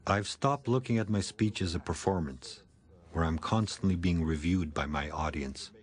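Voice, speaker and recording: A man in his fifties with a stammer, reading calm, reflective narration for a documentary about his own speech. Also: low voice, normal speed.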